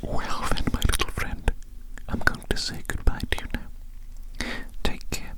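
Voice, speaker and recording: Whispering ASMR roleplay host, breathy and close. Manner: slow, lingering, repeats phrases soothingly. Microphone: very close microphone.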